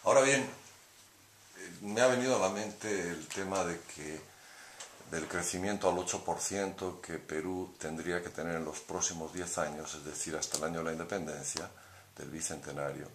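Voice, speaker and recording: A man's voice: deep voice, moderate pace, fairly bright tone. A male interviewer asking probing, conversational questions and often seeking agreement. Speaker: interviewer, host. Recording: echoey room, faint hiss.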